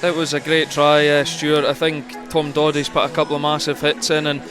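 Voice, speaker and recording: A man's voice: fairly high pitch, completely flat delivery, normal speed, music in the background. A man working as a rugby co-commentator, giving conversational, explanatory analysis in loosely built phrases. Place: commentary position at a rugby ground.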